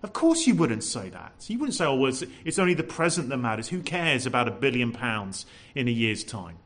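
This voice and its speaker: Lively male preacher. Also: medium pitch, fairly quick. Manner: lively, animated preaching with rhetorical questions; says he has a loud voice. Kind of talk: sermon.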